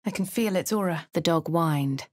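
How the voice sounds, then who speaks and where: An actress voicing a posh young woman adventurer in a video game, with a husky, refined delivery. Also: lowish voice, moderate pace, no echo, close to an everyday voice. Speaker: player character. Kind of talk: video game narration and dialogue. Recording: dry studio booth.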